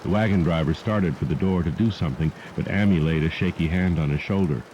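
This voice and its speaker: A muffled, degraded cassette recording with rain behind it carries a man's deep voice. He narrates a horror story in a solemn, measured way, with a dark, mellow tone. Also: slight background noise. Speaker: audiobook narrator. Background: rain and storm sounds.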